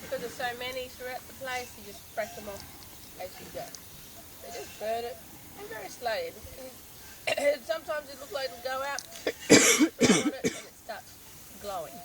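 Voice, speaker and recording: An Australian woman park ranger explaining things on a guided walk in the bush, unhurried and a little hoarse, pausing with ums and restarting her sentences. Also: fairly high pitch, sing-song. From northern Australia. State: slightly hoarse, losing her voice. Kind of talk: outdoor guided demonstration. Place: outdoors in the bush. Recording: outdoor recording with clear natural background noise.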